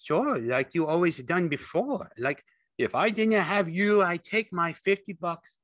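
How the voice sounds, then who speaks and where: A man's voice: sits high, expressive, measured pace, fairly bright tone, no echo, pitched above the performer's own voice, full character voice. A man voicing a big, childlike, simple man in an audiobook, naive and pleading, with ungrammatical speech. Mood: eager, anxious. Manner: naive, uneducated rural speech with bad grammar.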